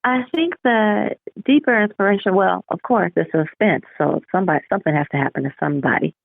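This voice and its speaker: A woman's voice: medium pitch, brisk, vocal fry, no echo. A woman author giving reflective, explanatory answers in a conversational interview over a phone line. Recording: tinny, narrow phone-like line.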